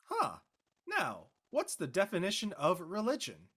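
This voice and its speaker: A man playing a blunt, skeptical and slightly awkward high school boy in a script reading. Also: huge pitch swings, measured pace, a bit bright, husky, exaggerated voice. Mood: awkward, casual. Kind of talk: casual live script reading.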